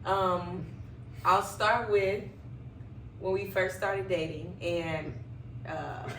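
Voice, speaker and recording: A woman in her thirties co-hosting a podcast in casual chat, telling stories with lively quoted dialogue. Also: lowish voice, drawn-out words. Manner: animated storyteller who acts out quoted dialogue. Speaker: podcast co-host. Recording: echoey room, voice a bit far from the mic, faint background noise.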